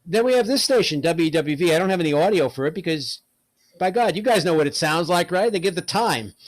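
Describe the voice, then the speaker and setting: A man's voice: high voice, animated, brisk, no room sound. An older man from New York City giving a casual talk in a chatty, colloquial storytelling manner. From New York City, United States. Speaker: presenter, radio hobbyist.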